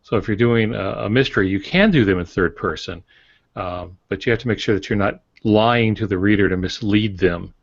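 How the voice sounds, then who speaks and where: A man with a very low, deep voice talks writing craft on a podcast in a measured, hesitant way, pausing on fillers. The sound is dry and close, on dull lo-fi audio. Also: creaky, no echo. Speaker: podcast co-host and mystery writer.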